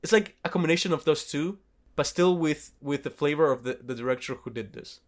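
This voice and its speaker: A man's voice: on the high side, a little fast. A man co-hosting a podcast, talking casually about movies in an informal, sweary, self-correcting way. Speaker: podcast co-host. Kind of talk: casual movie review chat.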